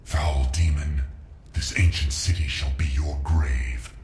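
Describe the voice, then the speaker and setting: A man's voice: rumbling low, fairly even intonation, takes it slowly, a little mellow, a little hiss or hum. A man playing a defiant old spider king in a game, grave, formal and proud in manner. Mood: grave defiance. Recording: roomy echo, faint music behind.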